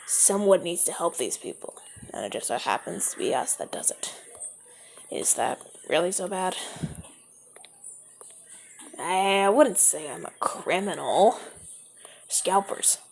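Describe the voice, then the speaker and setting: A man playing a gravelly, suave mafia charmer. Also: sits high, expressive, unhurried, long pauses, exaggerated voice. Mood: earnest, confiding. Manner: soft-spoken, often whispering, stammers when flustered. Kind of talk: ASMR audio roleplay.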